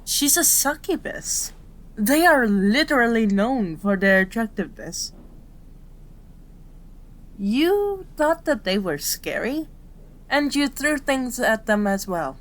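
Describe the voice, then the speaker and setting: A woman's voice: fairly high pitch, very animated, takes it slowly. A woman playing a cute, caring fox-spirit doctor in an ASMR roleplay, prim, chiding and easily flustered. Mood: startled, soothing.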